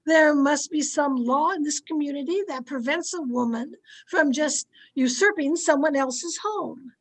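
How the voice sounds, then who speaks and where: A woman's voice: high voice, measured pace. A woman playing a domineering, haughty, easily outraged society wife in an old-time radio drama, scolding and imperious. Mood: outraged, commanding. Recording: amateur video-call recording.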